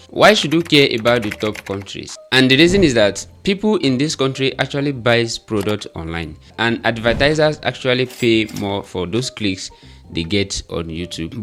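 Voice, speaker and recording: A man's voice: mid-range voice, a bit bright. A man with a Nigerian accent, explaining steps in an earnest, repetitive tutorial manner. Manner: earnest, repetitive step-by-step instruction. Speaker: YouTube growth tutor. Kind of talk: tutorial talking to camera.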